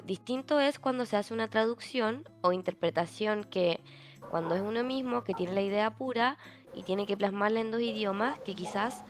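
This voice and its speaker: A woman with a Chilean accent, hosting a casual interview and reading out audience questions in a loose, rambling way. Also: on the high side. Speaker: podcast host.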